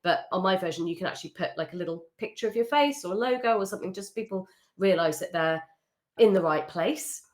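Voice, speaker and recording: Female host, lower-pitched voice, upbeat and chatty. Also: fast talker. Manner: upbeat, chatty, with frequent laughs. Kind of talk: casual interview, giving brand feedback.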